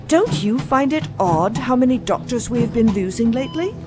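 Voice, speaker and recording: A woman's voice: on the high side, lively intonation, normal speed, over music, natural voice. A woman playing a principled, no-nonsense doctor in an audio drama, blunt and sarcastic. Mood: brisk, suspicious.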